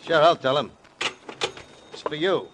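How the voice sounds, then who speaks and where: Brusque, swooping-voiced grumbler. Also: male voice, a little high, animated, a little slow. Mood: curt, grudging. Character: brusque, fussy male phone owner, grudging and suspicious. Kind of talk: 1950s radio crime drama acting. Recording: noisy old radio recording.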